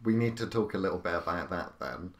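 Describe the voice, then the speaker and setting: A man hosts a podcast interview in a very deep voice. He builds his questions slowly and at length, with hesitations and restarts along the way. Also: expressive. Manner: rambling, long-winded questions with hesitations. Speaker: podcast host. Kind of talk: casual interview chat.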